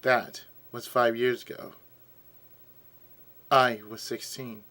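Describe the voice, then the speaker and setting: A hoarse man voicing a quiet young male ex-soldier swordsman in a subdued, low-key way, over game music. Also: level tone, slow pace. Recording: game music playing behind.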